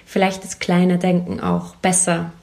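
A woman's voice: fairly low pitch, completely flat delivery, moderate pace, gravelly, darkish tone. A woman conducting a casual, conversational interview in an encouraging, affirming way.

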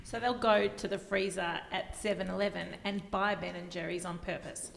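A woman's voice: on the low side, steady tone, normal speed, vocal fry, echoey room, in a large hall, a little hiss or hum. A woman hosting a podcast, eagerly probing her guest for more of the story in a casual conversation. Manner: eager, probing, laughs easily. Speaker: podcast host, interviewer.

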